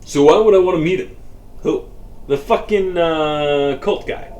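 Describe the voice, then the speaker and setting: A man's voice: fairly high pitch, a little slow, a little mellow, roomy sound, natural voice. A man voicing a curious young man who keeps pressing with incredulous questions. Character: young man called Doc, curious and pushy. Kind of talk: audiobook dialogue reading.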